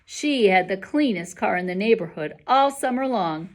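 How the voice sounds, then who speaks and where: Woman doing an excitable, emphatic young schoolboy. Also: mid-range voice, moderate pace, close to an everyday voice. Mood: exasperated, joking.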